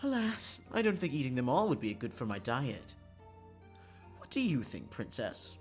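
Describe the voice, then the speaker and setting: A man's voice: medium pitch, sing-song, normal speed, soft background music, close to an everyday voice. A man putting on a coy, flirtatious dandy. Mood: playful, airy.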